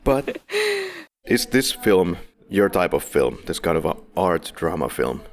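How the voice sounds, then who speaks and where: A man hosts a film podcast with a very low voice and a gravelly edge. He keeps it relaxed and playful. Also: measured pace. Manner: jokey, playful introductions. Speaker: podcast host. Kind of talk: casual film podcast chat.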